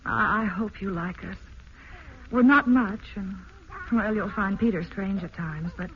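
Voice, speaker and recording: A woman's voice: mid-range voice, lightly acted. A woman acting a young American wife and new mother in a vintage radio play. She is anxious and fluttery, hesitating and pleading as she talks. The sound is dull and noisy, like an old broadcast. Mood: nervous, welcoming.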